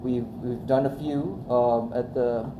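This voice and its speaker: A man hosting a live business networking panel, hesitant and full of fillers and restarts, recorded from a distance in an echoey room with loud ambience. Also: medium pitch, steady tone, slow, background noise. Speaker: event host and organizer.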